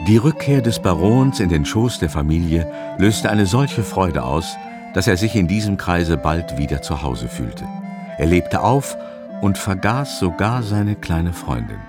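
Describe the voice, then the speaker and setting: Deep-voiced male radio play narrator. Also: sing-song, a little slow, over loud music.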